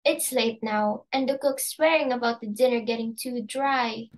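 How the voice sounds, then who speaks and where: Casting: deferential servant read by a woman, high-pitched, formal and polite, for an amateur drama. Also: moderate pace, close to an everyday voice. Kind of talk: amateur dramatic play reading.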